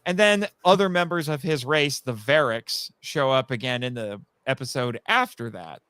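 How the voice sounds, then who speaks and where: A man chatting casually as a guest on a live podcast, telling stories in a rambling, animated way and acting out quoted remarks. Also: medium pitch, moderate pace, clear, resonant tone, dry recording. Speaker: guest, audio drama writer.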